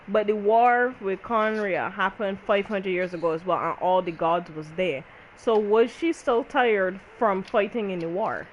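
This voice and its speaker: A woman's voice: mid-range voice, lo-fi sound. Woman chatting informally over a game. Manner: informal, thinking aloud. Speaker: gaming video creator. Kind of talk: casual chat while playing a game. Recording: faint background noise.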